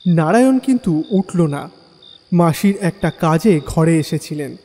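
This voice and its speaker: An actor playing a curious young visitor who narrates a scripted horror audio drama. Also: male voice, fairly high pitch, lively intonation, a little slow, mellow, long reverb tail. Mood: atmospheric.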